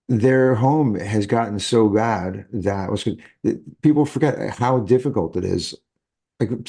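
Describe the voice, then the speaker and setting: A man's voice: lowish voice, a little mellow. A man hosting a podcast, speaking emphatically and thinking aloud as he builds his point. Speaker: podcast host. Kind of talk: podcast conversation.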